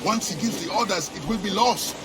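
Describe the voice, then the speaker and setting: A man's voice: high-pitched, brisk, noisy background, close to an everyday voice. An actor playing a loyal pirate first mate who speaks to his captain with urgency. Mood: earnest.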